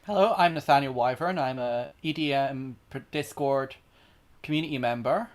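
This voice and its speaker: A young man introduces himself in a casual, slightly halting way. His voice sits at a middle pitch. He pauses now and then. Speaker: music community member.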